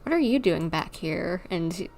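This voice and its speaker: A woman's voice: lowish voice, lively intonation, unhurried, gravelly, darkish tone. A woman livestreaming a game, giving casual commentary and thinking aloud informally as she plays.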